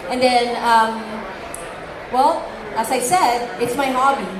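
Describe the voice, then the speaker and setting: Filipina panel co-host, woman, agreeable, highish voice, crowd and echo behind. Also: slow. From Philippines. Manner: agreeable, fills in with yes and yeah. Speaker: convention panel co-host, teacher. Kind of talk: casual panel talk. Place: convention panel room. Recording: echoey room, crowd behind.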